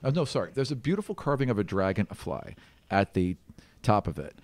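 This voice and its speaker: A man's voice: lowish voice, very animated, a little fast, no echo. A man working as game master, improvising descriptive narration in a casual, conversational way.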